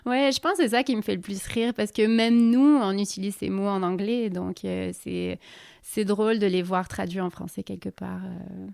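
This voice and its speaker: Gravelly French woman with slight Quebec accent. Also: medium pitch, steady tone, moderate pace, a little mellow, dry recording, clean studio sound. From southern France. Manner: hesitant, many fillers and restarts. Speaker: guest, expatriate in Quebec. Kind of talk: casual interview conversation.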